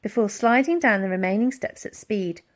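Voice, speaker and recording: A woman reading a children's storybook aloud as an expressive, dramatic narrator who acts out the sound words. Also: medium pitch, moderate pace. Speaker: audiobook reader.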